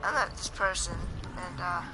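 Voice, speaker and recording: Young male gaming host, scratchy worn-out voice on the high side, slow rambling chat, loud game music behind. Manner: hesitant, meandering. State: voice strained from yelling. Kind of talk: casual rambling chat over gameplay. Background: video game music.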